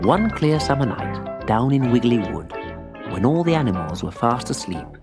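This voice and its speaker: A woman reading a scripted children's story aloud with expressive narration. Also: rumbling low, normal speed, over music.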